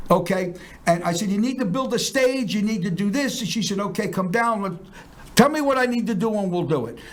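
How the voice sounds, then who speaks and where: Rambling high-voiced showman host. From South Philadelphia. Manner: boastful, rambling, jokey showman. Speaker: talk show host. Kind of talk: casual promotional chat.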